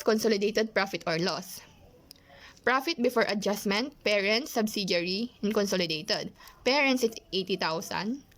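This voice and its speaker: Methodical woman presenting a lesson, mid-pitched. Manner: methodical, reciting in short phrases. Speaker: accounting presenter. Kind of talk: scripted lesson narration.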